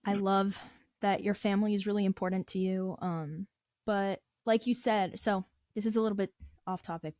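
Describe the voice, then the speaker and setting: Halting young woman host, dry sound. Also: medium pitch, a little mellow, no echo. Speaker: podcast host. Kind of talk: casual interview.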